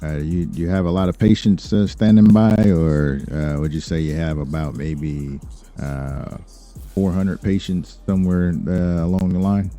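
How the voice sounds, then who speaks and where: A man improvising as an obliging lawyer and crime boss in a role-play game, talking business politely and haltingly with many fillers. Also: sits low, level tone, warm tone, faint music behind. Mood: businesslike, assured. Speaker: streamer role-playing in a game. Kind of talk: improvised in-character business talk.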